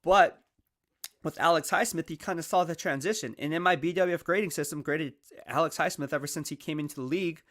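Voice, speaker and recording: A man talks through football team rosters in a lively, conversational monologue, constantly tossing in quick checks like "right?" to keep listeners with him. His voice is bright and a touch higher than most men's, with a slight hoarseness from losing his voice. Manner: energetic, conversational, peppered with "right?" and "all right?". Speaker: football analyst and show host. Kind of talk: casual sports commentary monologue.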